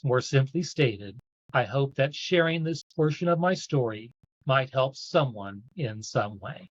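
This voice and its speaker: A man's voice: medium pitch, animated, a little slow, breathy edge, dry recording. Man reading aloud, dull dry recording. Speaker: event host reading a submitted story aloud. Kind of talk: reading a written personal account aloud.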